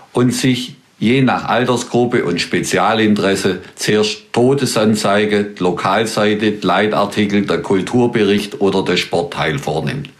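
A middle-aged man with a Swabian accent, reading his own humorous dialect column aloud in an unhurried, wry storytelling manner. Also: fairly low pitch. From Swabia, Germany.

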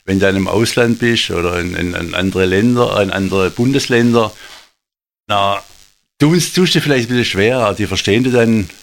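A middle-aged man with a Swabian accent tells personal anecdotes in conversation, haltingly, often repeating phrases as he searches for words. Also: fairly low pitch, gravelly. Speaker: interview guest, photographer. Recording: faint background hiss.

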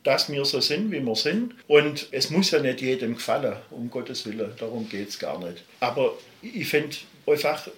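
A man's voice: mid-range voice, lively intonation, moderate pace, hardly any pauses, fairly bright tone. Middle-aged man, Swabian accent, emphatic, roomy echo. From Baden-Württemberg, Germany. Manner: passionate, emphatic, digressive. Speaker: musician guest. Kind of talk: interview talk.

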